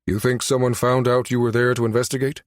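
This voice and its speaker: A man voicing a stern, easily outraged national security director in an audiobook, clipped and forceful. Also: mid-range voice, level tone, fast, dry recording, clean studio sound, natural voice. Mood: grave.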